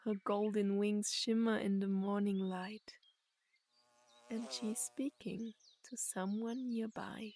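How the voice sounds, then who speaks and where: A woman narrating a guided meditation and story in an unhurried, soothing way, with long pauses between phrases. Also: mid-range voice, steady tone, darkish tone.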